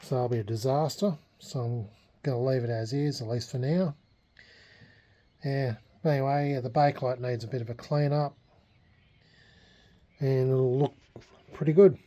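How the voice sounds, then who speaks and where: An Australian man giving a casual, unscripted hobby vlog update, speaking haltingly with frequent pauses. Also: medium pitch, steady tone, dark tone. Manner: halting, hesitant, unscripted delivery with many pauses. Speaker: hobbyist vlogger. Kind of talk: casual restoration progress vlog.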